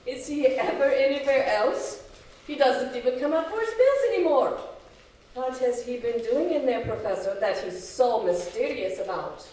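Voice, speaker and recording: An actress playing an anxious, easily scandalised housekeeper in an old-time radio horror drama, with a dramatic, worried delivery. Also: female voice, high-pitched, animated, normal speed, darkish tone. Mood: worried, horrified. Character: anxious, fretful housekeeper Madame Maurice, scandalised. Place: live stage show in a community hall. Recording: echoey community hall, far from mic.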